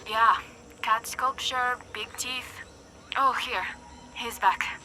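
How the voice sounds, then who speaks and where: A woman's voice: fairly high pitch, fairly even intonation, slow pace, pushed and bright, full character voice, rough recording. A woman playing a friendly, down-to-earth local guide in a naturalistic video game cutscene. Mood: friendly, helpful. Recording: music under the voice.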